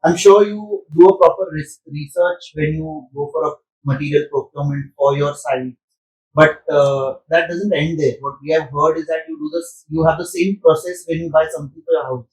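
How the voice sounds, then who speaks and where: A man's voice: fairly high pitch, steady tone, moderate pace, far from the mic. A man, a host asking joking questions in a casual chat. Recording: roomy, off-mic.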